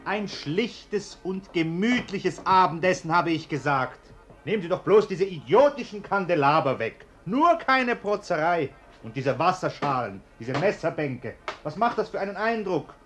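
An actor playing a fussy, nervous well-off householder who gives bossy orders in a German radio play. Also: male voice, high-pitched, sing-song, unhurried, fairly bright tone, soft background music, heavily acted. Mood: fussy, agitated.

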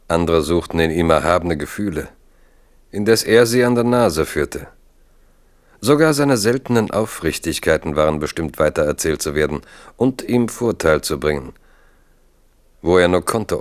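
A man narrating a radio play in a scripted, literary storytelling style. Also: deep voice.

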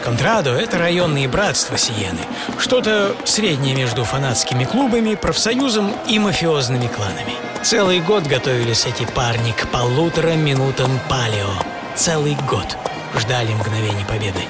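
A man narrating a scripted radio travel piece in a theatrical, expressive way. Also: medium pitch, moderate pace. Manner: theatrical, expressive, shifting from hushed to excited. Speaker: author and narrator of an audio travel show. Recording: music under the voice. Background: music.

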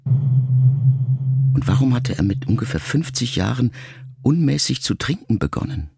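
A man reading a letter aloud as an audiobook, in a measured, reflective way. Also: mid-range voice, animated, gravelly, slightly rough, dry recording, studio quality. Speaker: narrator. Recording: loud music underneath.

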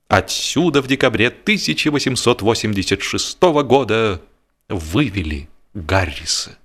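A man narrating a humorous literary story expressively, in a theatrical, measured way with drawn-out emphasis. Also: on the low side, a bit bright. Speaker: audiobook narrator. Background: music.

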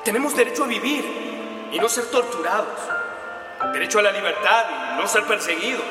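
A man's voice: sits high, expressive, normal speed. A man playing a solemn migrant activist in a radio drama, declaiming a memorial speech. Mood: solemn, resolute. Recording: echoey reverberant space, music behind.

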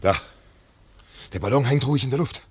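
A man's voice: medium pitch, fairly quick, husky, no room sound, close to an everyday voice. A man acting in a German radio play as a musing pursuer with reluctant sympathy for his quarry, in an understated manner. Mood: doubtful.

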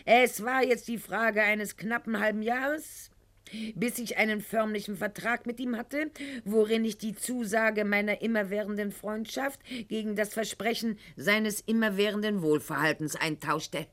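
A woman's voice: medium pitch, fairly even intonation, a little slow, fairly bright tone, lightly acted. An actress playing a proud, calculating eighteenth-century noblewoman in a radio drama monologue, with formal, haughty and eloquent diction addressed to her husband. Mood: self-satisfied.

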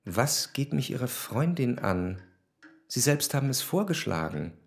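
An actor playing a haughty, impatient gentleman with a formal, condescending manner in a German radio play. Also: mid-range voice, big pitch swings, unhurried, gravelly, husky, exaggerated voice. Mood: cool, impatient. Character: haughty, impatient well-off gentleman, master of house.

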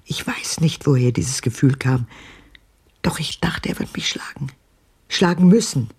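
An older actress playing a haunted old woman in a radio drama, speaking haltingly in a hushed, husky, gravelly, breathy voice. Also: very deep voice, exaggerated voice. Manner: halting, hushed, deliberate delivery. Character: haunted old woman recalling her father's abuse, halting.

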